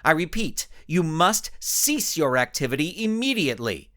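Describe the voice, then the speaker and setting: Storybook superheroine, played by a male narrator: belligerent, commanding, clipped orders with forceful swings in pitch. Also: sing-song, moderate pace. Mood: angry, commanding. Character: stern, belligerent female superhero.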